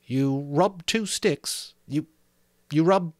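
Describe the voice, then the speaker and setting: Male reader as a commanding schoolboy choir leader, pitched up, urgent and excitable, for a dramatic audiobook. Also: slow pace, no echo, studio quality. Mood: embarrassed. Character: bossy, excitable adolescent choir leader boy.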